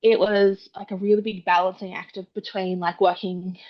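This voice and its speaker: A woman's voice: mid-range voice, flat delivery, normal speed. Chatty Australian woman, slightly muffled. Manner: chatty, many fillers and quoted asides. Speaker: guest author. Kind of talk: casual interview chat.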